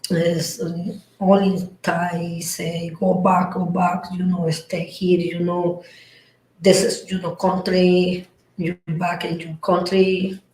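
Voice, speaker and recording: Female guest with a Spanish accent, halting speech, mixes in Spanish, roomy sound. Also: on the low side, flat delivery, darkish tone. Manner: halting, mixing English and Spanish. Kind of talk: conversational interview.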